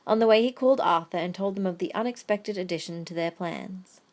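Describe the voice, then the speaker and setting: A woman's voice: fairly low pitch, brisk. A woman reading scripted third-person story narration as the narrator of an audio drama.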